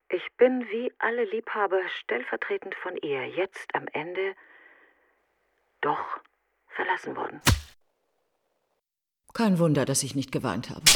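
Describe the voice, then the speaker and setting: An actress in a radio play monologue, playing a bitter, grieving woman alone after her best friend's funeral, speaking in halting, clipped, literary fragments that often sink toward a breathy whisper. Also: fairly low pitch, background noise. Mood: abandoned, resentful.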